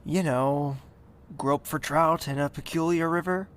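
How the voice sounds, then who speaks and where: A man's voice: a little high, steady tone, a little slow, fairly bright tone. A man playing an exasperated, lovestruck young nobleman in a fantasy audio drama. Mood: concerned, awkward. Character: young nobleman, exasperated, secretly smitten, fearful of his father.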